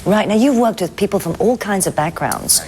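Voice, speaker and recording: A woman's voice: medium pitch, animated, fairly quick, a little mellow. A woman hosting a television interview, asking questions in a polite, inquisitive and conversational way. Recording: clear background ambient noise.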